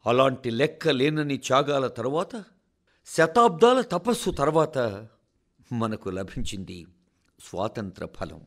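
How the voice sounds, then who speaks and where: Male radio reader, mid pitch with highly expressive swings, formal oratorical delivery, solemn and deliberate pauses. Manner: solemn, oratorical, measured phrasing with dramatic pauses. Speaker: radio reader of a translated national address. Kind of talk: scripted formal radio address.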